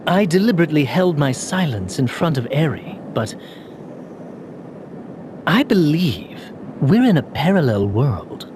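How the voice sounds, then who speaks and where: An earnest young man from a video game, haunted by doubt. He speaks slowly and haltingly, with pitch that swings dramatically. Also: very animated, noisy background. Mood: grave. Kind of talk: English video game voice acting.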